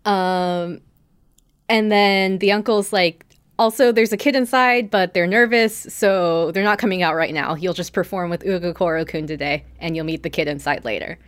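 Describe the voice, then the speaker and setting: A woman's voice: lowish voice, moderate pace. A woman podcast host giving a casual, chatty recap, telling the story with acted-out quotes and plenty of "like".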